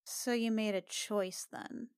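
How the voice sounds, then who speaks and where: A woman's voice: fairly high pitch, fairly even intonation, takes it slowly, creaky, clear, resonant tone, no echo, clean studio sound. A woman playing a protective, defiant young noblewoman who speaks in a pointed, controlled way. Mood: quiet, confronting. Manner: pointed, controlled, quietly confronting.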